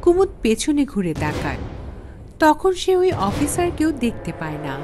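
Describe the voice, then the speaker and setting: A woman's voice: high-pitched, huge pitch swings, moderate pace, music in the background. A woman narrating a scripted horror story in a dramatic storytelling style.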